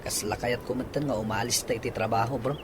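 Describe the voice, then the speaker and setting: A man's voice: medium pitch, steady tone, quick pace, music in the background, close to an everyday voice. An actor playing a heartbroken young man in radio drama style, with an Ilocano accent. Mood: heartsick. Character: heartbroken young man pining after a woman.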